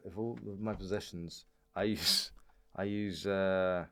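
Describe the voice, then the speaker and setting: A man's voice: low-pitched, steady tone, measured pace, fairly bright tone, clear, resonant tone. A man speaking as a comedian guest on a podcast, chatting casually in a rambling, jokey way. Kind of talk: casual comic chat.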